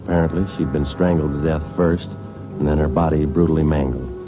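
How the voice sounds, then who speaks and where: An actor playing a terse, hard-boiled police detective who narrates a 1940s radio crime drama in a clipped, flat, matter-of-fact way. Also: male voice, very deep voice, a little fast, music in the background. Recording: dull old radio broadcast recording.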